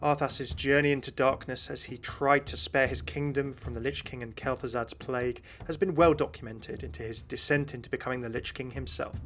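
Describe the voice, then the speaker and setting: A man's voice: mid-range voice, fairly bright tone, a little hiss or hum. A man hosting a gaming video, explaining lore and references in an informative, enthusiastic commentary.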